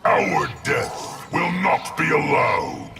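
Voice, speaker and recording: An actor voicing a grave, menacing undead construct of many souls, with a raspy growl and solemn, formal delivery for a fighting game. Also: male voice, fairly high pitch, very animated, extremely slow, raised voice, very noisy, heavily acted. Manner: solemn, formal, speaks of himself as we. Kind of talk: video game fight dialogue.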